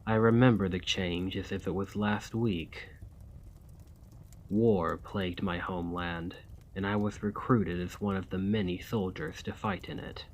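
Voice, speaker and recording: A man playing a weary, courtly, centuries-old vampire and former soldier in an ASMR roleplay, speaking in formal, old-fashioned, measured diction. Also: low-pitched, fairly even intonation. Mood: haunted, sorrowful.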